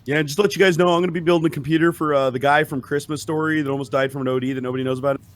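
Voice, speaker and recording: A man's voice: on the high side, fairly even intonation, rapid-fire. A man cohosting a podcast, talking casually and animatedly, swearing freely. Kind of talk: casual chat.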